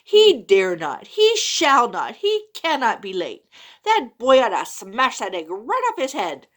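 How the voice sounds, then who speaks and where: A woman's voice: a little high, wildly swooping pitch, a little slow, a bit bright, full character voice. A woman voicing a bossy male worm who insists the boy must not be late. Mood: insistent. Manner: insistent, emphatic.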